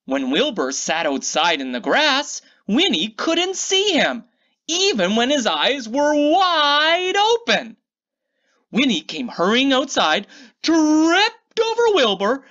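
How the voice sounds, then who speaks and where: A woman's voice: a little high, a bit bright, dull audio. A woman reading a children's picture book aloud, theatrically drawing out key words for emphasis. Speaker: children's storybook reader.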